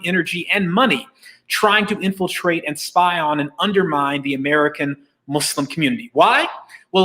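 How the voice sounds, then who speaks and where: A man's voice: fairly high pitch, bright, forceful tone. A man hosting a virtual press conference, delivering a prepared public statement in an emphatic way. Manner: emphatic, uses rhetorical questions. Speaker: host and moderator of a press conference.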